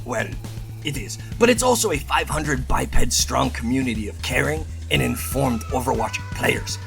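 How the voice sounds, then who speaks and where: A man's voice: medium pitch, lively intonation, moderate pace, gravelly, fairly bright tone, soft background music. A man hosting a channel, reading aloud and tossing in casual asides in a playful, theatrical way. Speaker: channel host.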